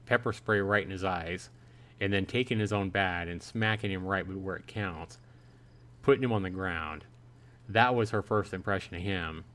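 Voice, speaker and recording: Male game streamer, lower-pitched voice, casual read-aloud of story dialogue with off-the-cuff reactions. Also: fairly bright tone. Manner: reads the dialogue aloud, then paraphrases and reacts. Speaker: streamer, host of a live play-through. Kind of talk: reading a visual novel aloud with casual reactions and commentary.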